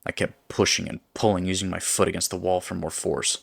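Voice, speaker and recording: A man narrating a scripted first-person horror story in a measured, suspenseful way. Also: low-pitched, little pitch movement, gravelly, breathy edge, no room sound.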